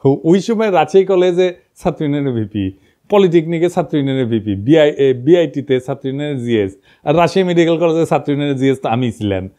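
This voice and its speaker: A man's voice: a little high, animated, fairly quick, dark tone. A middle-aged man with a northern Bangladeshi accent, giving informal, mocking political commentary full of stories and rhetorical questions. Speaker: political commentator, online host.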